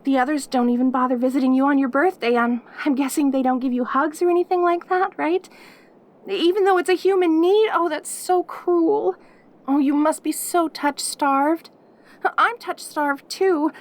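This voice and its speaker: A woman's voice: sits high, natural voice. A woman voicing a perky, lonely yandere demon girl in an intimate audio roleplay, eager and stammering as she dotes on the listener. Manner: eager, stammering, giggly, devoted.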